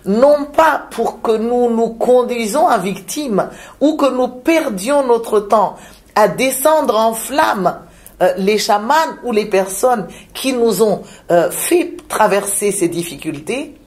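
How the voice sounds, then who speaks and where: A woman from Madagascar, a shaman, speaking in a reflective interview with frequent pauses, hesitations and repeated words. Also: fairly high pitch, expressive, darkish tone. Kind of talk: reflective spiritual interview talk.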